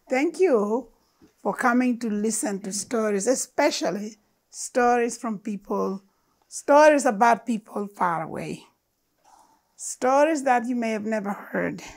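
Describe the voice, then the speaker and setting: Older woman speaking slowly and earnestly. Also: fairly high pitch. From Uganda. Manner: slow, earnest and emphatic. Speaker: storyteller speaker. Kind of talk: storytelling talk to an audience. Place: living room with stone fireplace, indoors. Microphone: lapel mic.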